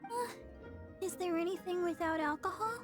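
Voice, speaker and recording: A woman voicing a sweet, shy, gentle teenage girl with hesitant delivery in an anime game. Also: extremely high pitch, a little slow. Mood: bashful, cheerful. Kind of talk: anime game dub acting. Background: music.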